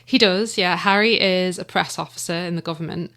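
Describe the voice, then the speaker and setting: A woman's voice: lowish voice, steady tone, a little fast, a bit bright. A woman with a Manx accent, chatting in a casual radio interview about her audio drama, laughing often and trailing off to avoid spoilers. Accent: Manx English. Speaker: audio drama writer and guest.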